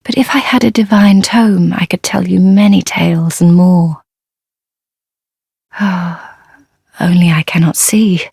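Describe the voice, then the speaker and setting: An actress playing a gentle, devout and fearful blind young nun in a dark fantasy game, speaking softly and humbly in a breathy, whispery voice. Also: female voice, on the low side, steady tone, no room sound, clean studio sound, exaggerated voice. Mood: earnest, hesitant. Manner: halting, humble, formal, reverent.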